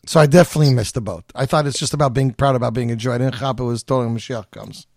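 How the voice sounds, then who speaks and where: A man's voice: medium pitch, fairly even intonation, very fast, creaky, darkish tone, no room sound, clean studio sound. A man interviewing a singer in a casual, chatty way with many fillers.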